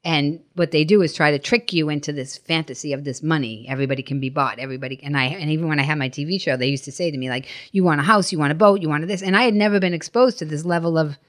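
A woman's voice: deep voice, quick pace, few pauses. A middle-aged woman telling anecdotes as a podcast guest. She is blunt and emphatic, swears freely and acts out quoted dialogue. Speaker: guest, stand-up comedian. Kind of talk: animated anecdotal storytelling in a podcast interview.

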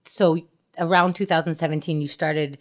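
Female podcast interviewer, low-pitched voice, casual questioning style. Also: fairly even intonation, normal speed.

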